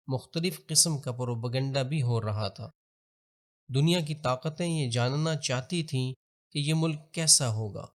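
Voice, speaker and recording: This is a male documentary narrator with a Pakistani accent and a mid-pitched voice. He reads from a script in a formal, measured way. His delivery is expository, suited to historical narration.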